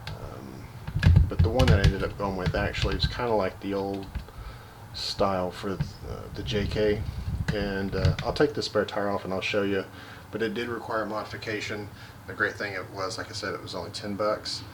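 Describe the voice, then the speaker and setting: A man casually walking through a vehicle install outdoors, relaxed and practical, with lots of fillers and restarts. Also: lowish voice, moderate pace, noisy background. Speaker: vlogger. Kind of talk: casual how-to walkthrough.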